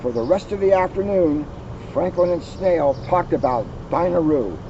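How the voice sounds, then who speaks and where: A man's voice: fairly high pitch, lively intonation, moderate pace. A man reading a children's story aloud as a police officer, recorded outdoors. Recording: dull lo-fi outdoor recording, traffic noise.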